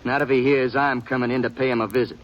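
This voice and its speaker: A man's voice: mid-range voice, fairly even intonation, fast talker, dull audio, a little hiss or hum, close to an everyday voice. A man in a 1950s radio western playing a cocky young gunfighter who talks in a sneering, confident way. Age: young adult. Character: cocky young male gunfighter, cold and boastful. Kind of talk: 1950s radio drama acting.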